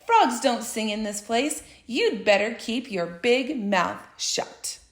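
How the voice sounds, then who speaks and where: A woman's voice: fairly high pitch, lively intonation, takes it slowly, voice pushed down. A woman doing a jeering animal friend. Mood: mocking. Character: mocking animal friend of the frog. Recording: roomy echo.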